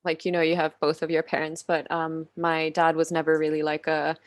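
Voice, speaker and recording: A woman in her twenties from Finland, a podcast guest talking casually on a video call, soft-spoken with many "like" fillers and frequent giggles. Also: low voice, completely flat delivery, a little fast, no room sound. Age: 20s. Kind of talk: casual interview chat.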